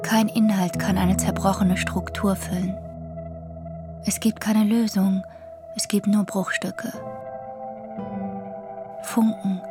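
Grave female reciter played by a woman for poetic radio drama, mid pitch, very little inflection, sparse unhurried phrases. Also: natural voice. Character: calm, grave female voice speaking aphorisms.

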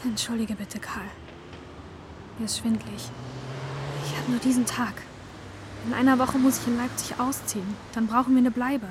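A woman plays an anxious young mother in an intimate radio drama. Her voice is high, and she pleads earnestly and haltingly but insists. A faint vehicle ambience sits behind her. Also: steady tone, background noise, natural voice. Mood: weary, apologetic.

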